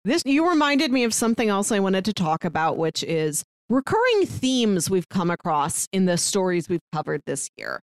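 A woman's voice: mid-range voice, sing-song, moderate pace, hardly any pauses, no room sound, clean studio sound. A woman co-hosting a podcast, talking casually and chattily as she reviews stories. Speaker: podcast co-host.

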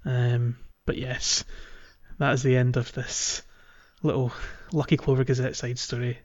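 Guy on a gaming podcast explaining stuff casually, kinda hesitant, average pitch, dull lo-fi audio. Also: flat delivery, a little slow, a little mellow. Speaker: podcast co-host. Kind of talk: casual video game chat, explaining quests. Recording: dull, lo-fi sound.

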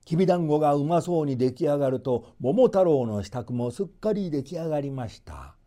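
A man's voice: a little high, animated, fairly quick, warm tone. A man narrating a folk tale as a storyteller, in a theatrical scripted reading.

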